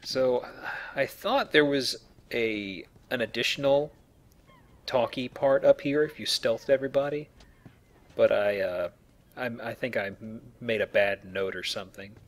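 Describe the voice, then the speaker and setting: A man's voice: mid-range voice, unhurried. A man giving casual, rambling game commentary with hesitant self-corrections. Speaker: let's play commentator.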